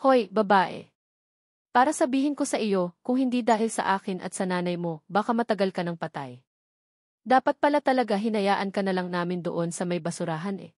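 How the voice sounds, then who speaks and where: A woman's voice: mid-range voice, moderate pace, no room sound, studio quality, natural voice. A woman voicing a spiteful, contemptuous aunt in an audiobook, with a harsh, taunting, blunt manner. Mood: indignant. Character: spiteful, contemptuous aunt, scolding and insulting her niece.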